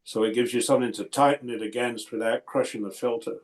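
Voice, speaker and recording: A man's voice: medium pitch, steady tone, quick pace. A man giving a casual technical explanation on a group call, stumbling and repeating words as he explains. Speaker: technical adviser.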